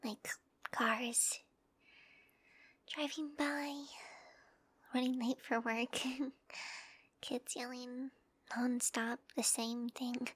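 Young woman, soft whisper, giggly, unhurried delivery, close mic, dry sound. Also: high-pitched, no echo. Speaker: roleplay performer. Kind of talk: whispered audio roleplay to the listener.

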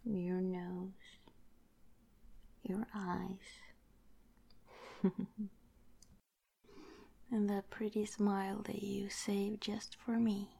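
A woman whispering tenderly and haltingly in an intimate, affectionate romantic ASMR roleplay, her voice breathy. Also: mid-range voice, flat delivery, mellow.

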